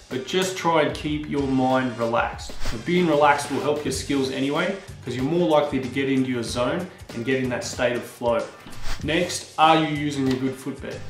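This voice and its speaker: A man in his thirties hosting a ski gear tutorial, talking straight to camera in a casual, energetic way. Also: medium pitch. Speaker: host. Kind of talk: upbeat instructional vlog. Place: small indoor room with skis on wall. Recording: roomy echo with faint music.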